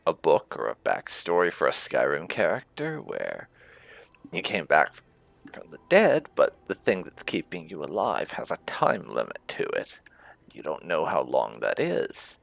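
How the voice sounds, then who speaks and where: A man's voice: low voice, vocal fry, a bit bright, dry recording. A man hosting a gaming playthrough, giving casual commentary with light roleplay. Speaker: gaming video host.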